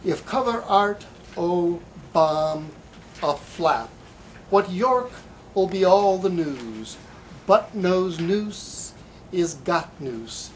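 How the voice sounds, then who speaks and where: A man giving a live poetry reading in a bookstore, with halting, hesitant asides and deliberate delivery. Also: sits high, expressive, rough recording. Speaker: poet. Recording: light background room noise.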